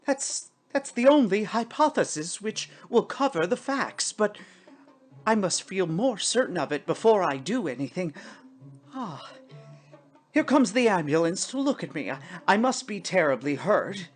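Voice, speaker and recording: Woman putting on a fussy, high-pitched stammering gentleman. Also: sing-song, a little slow, soft background music. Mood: puzzled, reasoning. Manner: stammering, flustered, prone to exclaim. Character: fussy, bewildered, stammering gentleman, newly a ghost. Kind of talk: theatrical storybook character voice.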